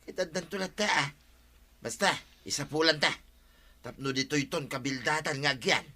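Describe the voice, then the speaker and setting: A man's voice: fairly high pitch, moderate pace, pushed and bright. A man playing a teasing middle-aged village uncle with an Ilocano accent in a comedic radio drama. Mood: scheming.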